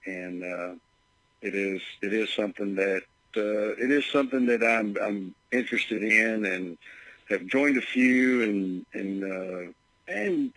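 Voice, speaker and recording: A man leaving a voicemail as a caller, speaking haltingly and repeating words as he goes, heard as a played-back message. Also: on the low side, pushed and bright, no echo, rough recording.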